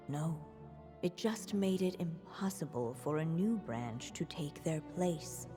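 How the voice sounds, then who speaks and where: A woman playing a solemn, poised storybook narrator in a video game, speaking in a measured way with long reverb over music. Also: fairly low pitch, mellow. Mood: grave, elegiac. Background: background music.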